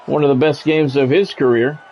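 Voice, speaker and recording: A man's voice: a little high, fairly even intonation, a little fast, a little mellow, a little hiss or hum. A man doing football play-by-play for a video game stream in a conversational, blunt way. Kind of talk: football play-by-play commentary with casual reactions.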